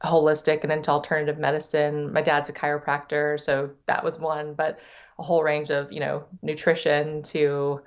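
A woman's voice: low-pitched, monotone, moderate pace, dull audio. A middle-aged woman, Thai as her first language and raised in the United States, speaking reflectively as a podcast guest, often restarting her sentences. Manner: reflective, many restarts and repeated words. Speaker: guest, investor. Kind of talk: podcast interview.

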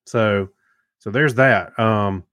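A man co-hosting a casual tech news show, talking in a relaxed, agreeable way with joking asides. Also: on the low side, slow, dry recording, clean studio sound. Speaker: podcast co-host.

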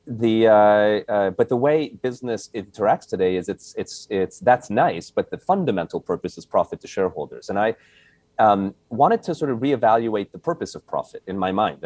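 Deep voiced guy in his 40s, from hungary, lots of uh and you know, dry close sound. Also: a little mellow, no room sound. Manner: halting, many fillers and restarts. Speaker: guest, company founder. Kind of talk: casual interview answers.

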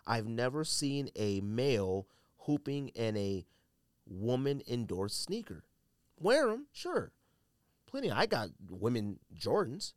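A man's voice: mid-range voice, very animated, slow pace, dry recording, studio quality. A man hosting a casual solo podcast, thinking aloud and often qualifying his own points as he goes. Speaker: sneaker podcast host.

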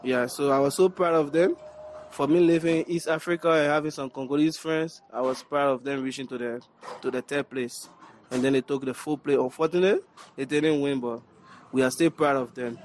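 A man's voice: mid-range voice, a little fast, a little hiss or hum. A man from Liberia in a street interview, stammering over repeated words.

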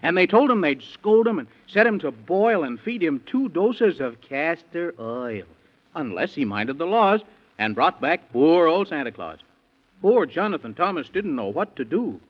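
A man's voice: fairly high pitch, breathy edge. A man narrating a rhyming 1930s radio bedtime story in a playful, theatrical, rhythmic way. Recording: dull, muffled 1930s radio recording.

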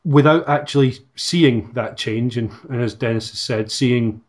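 A man in a podcast conversation, speaking in a measured, thoughtful way. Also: medium pitch. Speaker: podcast guest. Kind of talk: reflective conversation.

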